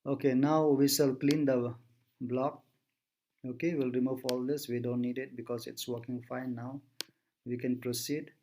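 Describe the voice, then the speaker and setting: A man presenting an informal software tutorial, thinking aloud step by step as he works. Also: medium pitch, little pitch movement, moderate pace, a little hiss or hum.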